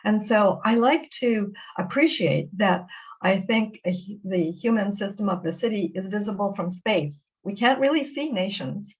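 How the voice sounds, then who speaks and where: A woman hosting an interview over a video call, enthusiastic and a little halting, with some restarts as she introduces her guest. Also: mid-range voice, expressive, dull audio. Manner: enthusiastic, with frequent restarts and fillers. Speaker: interview host. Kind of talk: warm conversational interview.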